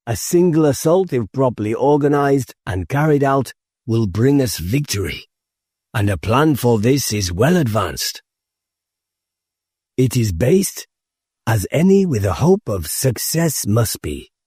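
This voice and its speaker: Man voicing commanding male rebel leader, grave, formal, deliberate speech, husky mellow voice, dry audiobook recording. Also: medium pitch, no echo, pitched above the performer's own voice. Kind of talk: audiobook character oratory.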